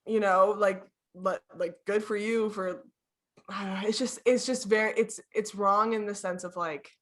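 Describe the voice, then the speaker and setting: A woman's voice: medium pitch, fairly even intonation, measured pace, a bit bright. A woman guest on a casual podcast, talking in a chatty rambling way with many fillers and frequent giggles. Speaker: guest streamer.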